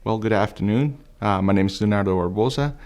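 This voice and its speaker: A middle-aged man from Venezuela, a ministry student and former lay-ministry leader, calmly telling his story in order. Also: lowish voice, brisk. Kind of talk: personal testimony.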